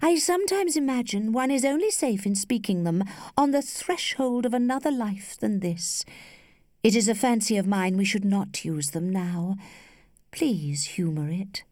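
A woman voicing a worldly, flirtatious lady who teases with cool indulgence in a measured, studied way, as in a storybook. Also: mid-range voice, expressive, darkish tone, dry recording, studio quality, pitched above the performer's own voice, close to an everyday voice. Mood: gently persuasive. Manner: studied, measured, archly playful.

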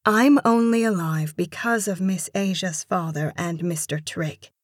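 A woman voicing a meek, polite but resolute immigrant woman in an audiobook story, soft-spoken and pleading. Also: lowish voice, a little slow, no room sound, studio quality. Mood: earnest, firm.

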